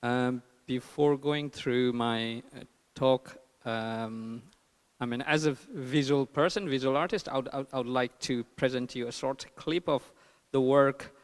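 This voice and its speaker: A man from eastern Nepal with a Nepali accent gives an informal conference talk as an artist, haltingly searching for words. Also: medium pitch. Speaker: artist and filmmaker presenting his work. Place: large hall at a public talk. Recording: reverberant hall.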